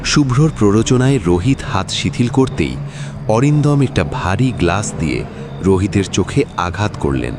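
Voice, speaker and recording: A man's voice: fairly low pitch, big pitch swings, moderate pace. A man narrating a suspense thriller audio story in a dramatic scripted style. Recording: music behind the voice. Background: suspense music.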